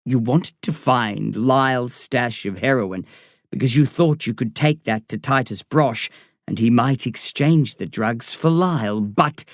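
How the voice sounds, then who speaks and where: A man voicing a slow, ominous stranger on the phone. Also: medium pitch, no room sound, studio quality, natural voice. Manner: insistent, ominous. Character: mysterious urgent man on a phone line. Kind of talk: audiobook dialogue.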